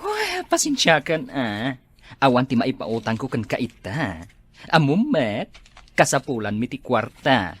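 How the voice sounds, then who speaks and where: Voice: medium pitch, wildly swooping pitch, unhurried, slightly rough, heavily acted. An actor playing a sympathetic, helpful friend in an Ilocano radio drama. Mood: sympathetic, reassuring.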